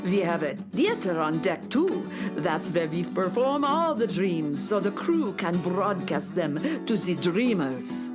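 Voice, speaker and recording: A woman as slow, grand theatrical stage director. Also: lowish voice, very animated, loud music behind the voice. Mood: grand, matter-of-fact. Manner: theatrical, self-important. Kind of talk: children's radio play acting.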